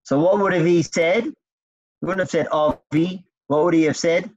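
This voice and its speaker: A man's voice: a little high, moderate pace. A man teaching a text study class, explaining step by step and quizzing his students. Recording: dull lo-fi recording.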